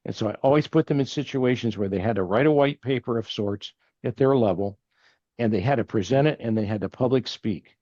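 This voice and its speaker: A man's voice: mid-range voice, fairly quick, warm tone, dry recording. Older Texan man, earnest interview guest, rambles and restarts; dull, dry line. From Texas. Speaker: podcast guest, family banking partner. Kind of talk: casual interview conversation.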